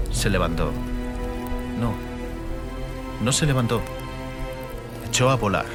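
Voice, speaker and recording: A man narrating a fantasy audiobook dramatically, in measured, emphatic phrases. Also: lowish voice. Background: music and atmospheric sound effects.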